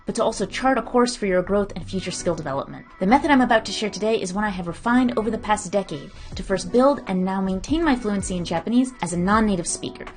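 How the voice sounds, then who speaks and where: A woman in her thirties giving a clear instructional tutorial, explaining her method step by step with occasional restarts. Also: mid-range voice, lively intonation, fast, hardly any pauses. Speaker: language learning educator. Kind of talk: instructional screen-share tutorial.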